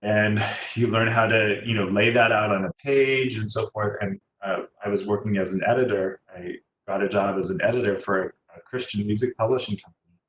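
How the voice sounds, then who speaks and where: A man speaking as a guest, giving a casual, halting, chatty career talk to students. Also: fairly low pitch, steady tone, fairly bright tone. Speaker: guest speaker, musician and designer. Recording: echoey room, faint background noise.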